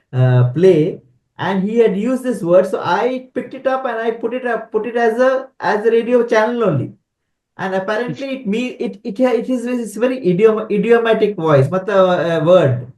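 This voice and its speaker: A man's voice: high-pitched, darkish tone, roomy sound. A man, a film director, explaining at length with many restarts and fillers on a panel. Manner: rambling, halting, mixing languages. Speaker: director and writer. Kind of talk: panel interview.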